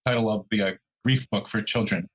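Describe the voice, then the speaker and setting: A man's voice: lowish voice, steady tone, brisk, a bit bright, husky, low-quality recording. A man co-hosting a true crime podcast, chatting informally and without a script. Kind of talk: casual co-hosted podcast chat.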